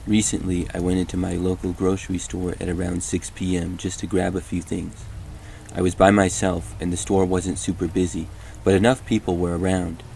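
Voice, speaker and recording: A man narrating first-person horror stories in a steady, even storytelling delivery. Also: low voice, moderate pace, creaky, a little hiss or hum.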